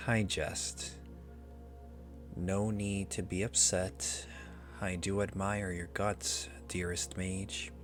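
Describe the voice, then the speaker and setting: A man plays an ancient, proud dragon trapped in human shape, speaking in a deep, breathy half-whisper. The delivery is extremely slow and even, haughty and seductively taunting, in the style of an ASMR roleplay. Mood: teasing, softened. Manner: slow, haughty, teasing drawl. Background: music.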